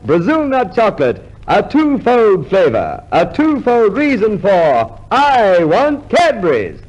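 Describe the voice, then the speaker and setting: A male announcer delivers a vintage radio advertisement. His voice is high and extremely animated, swinging widely in pitch. The old recording sounds dull. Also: takes it slowly.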